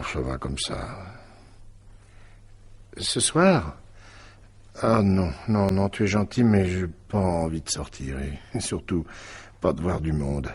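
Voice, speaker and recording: A man acting a weary, reticent fellow in a French radio mystery drama, talking in a casual, halting, reluctant way. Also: deep voice, husky, heavily acted. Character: weary, reticent man declining company, informal.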